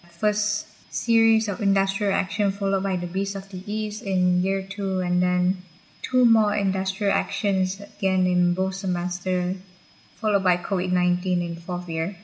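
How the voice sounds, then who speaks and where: A woman's voice: mid-range voice, a little mellow, rough recording. A young woman from Malaysia, candidly and reflectively recounting her university years for a podcast. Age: young adult. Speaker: university graduate guest. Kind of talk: podcast personal account.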